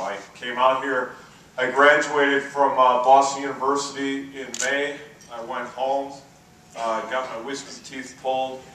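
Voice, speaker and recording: A middle-aged man with a booming voice giving a conference keynote, telling relaxed, self-deprecating stories with plenty of "uh" fillers. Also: a little hiss or hum. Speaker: keynote speaker. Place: large conference hall. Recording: very echoey hall, voice from far off.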